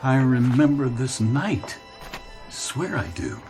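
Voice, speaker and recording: An actor playing a desperate man in a radio drama, earnest and imploring, with halting delivery. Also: mid-range voice, big pitch swings, a little mellow. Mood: wistful, reminiscing. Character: desperate grown man pleading with parents and younger self. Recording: radio drama with music underneath.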